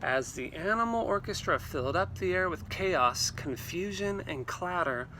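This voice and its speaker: A man reading a storybook aloud to children in an animated, theatrical way, with exclamations and asides. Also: sits high, moderate pace, noisy background. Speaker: read-aloud teacher.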